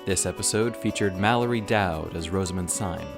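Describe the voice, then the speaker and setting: Low-voiced man reading credits over music. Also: moderate pace, gravelly. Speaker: announcer reading podcast credits. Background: music with guitar.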